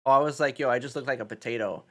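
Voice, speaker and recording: A man of about thirty keeps a streamers' panel moving, throwing questions to the others. He talks fast in an easygoing, slangy way. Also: medium pitch. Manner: laid-back, slangy, puts questions to the panel. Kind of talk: casual group chat.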